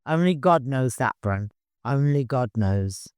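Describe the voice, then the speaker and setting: A man's voice: mid-range voice, lively intonation, unhurried, a little mellow, clean tone, no echo, studio quality, lightly acted. A man voicing a mischievous, talkative old servant in an audiobook, knowing and insistent. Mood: reassuring.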